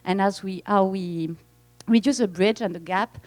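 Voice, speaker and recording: A woman in her forties with a French accent, giving friendly, slightly halting remarks as a games executive. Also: mid-range voice, a little mellow. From France. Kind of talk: conference remarks.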